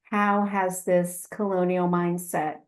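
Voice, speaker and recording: A woman's voice: fairly low pitch, little pitch movement, slow. A woman moderating a webinar on a video call, introducing a guest and asking questions with frequent ums and restarts.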